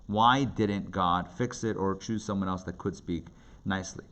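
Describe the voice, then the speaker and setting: A man's voice: low-pitched, moderate pace, fairly bright tone. A man teaching a class as a rabbi, explaining texts in plain talk with emphatic repetition and rhetorical questions. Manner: emphatic, repeats phrases and asks rhetorical questions. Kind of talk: interactive lecture, explaining commentaries in plain talk. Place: indoor class with participants. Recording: live classroom recording with background noise.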